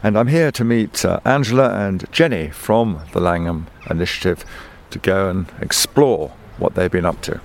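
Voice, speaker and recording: A man's voice: deep voice, moderate pace, gravelly, few pauses, slight background noise. A man presenting and interviewing outdoors on a hillside, curious and conversational, often repeating words as he asks. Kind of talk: walking field interview.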